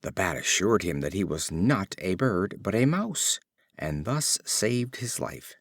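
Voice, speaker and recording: A man reading short fables as an audiobook narrator, with measured, expressive storytelling phrasing. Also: sits low, dry recording. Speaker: audiobook reader.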